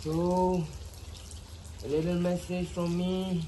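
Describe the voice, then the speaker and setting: A man playing an earnest old village elder in an amateur play, addressing people formally and haltingly. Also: high voice, little pitch movement, dark tone, a little hiss or hum.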